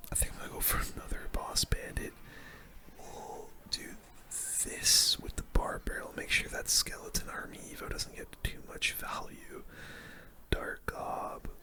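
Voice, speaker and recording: A gamer whispering softly and breathily into a close microphone, giving ASMR-style running commentary on play while musing aloud. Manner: soft whispering, mild swearing, musing aloud. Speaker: gaming video creator.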